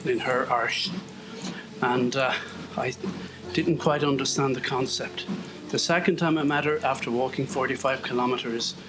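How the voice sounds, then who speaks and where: A man's voice: mid-range voice, low-quality recording. An Irish man talking to himself in a loose, wandering monologue while walking on a treadmill, sniffly, with self-mocking asides. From Ireland. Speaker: podcast host. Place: home, on a treadmill. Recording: background music under voice.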